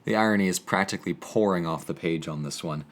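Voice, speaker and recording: A man's voice: sits low, fast, soft background music. A young man speaking as a presenter of history and poetry, earnest and emphatic in an educational delivery. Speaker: presenter reading and explaining poetry. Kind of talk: casual educational commentary with dramatic poetry reading.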